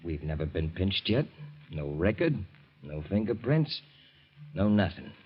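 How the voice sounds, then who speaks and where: An actor playing a threatening gangster in a 1940s radio drama, smug and slangy. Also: male voice, deep voice, very animated, unhurried, slight background noise. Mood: menacing. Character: menacing gangster with a gun. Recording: muffled 1940s radio recording.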